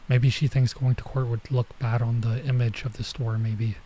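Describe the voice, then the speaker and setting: A man streaming a visual novel, reading it aloud with casual commentary and thinking out loud as he goes. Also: mid-range voice, little pitch movement, normal speed, a little hiss or hum. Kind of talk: let's play read-aloud with casual commentary.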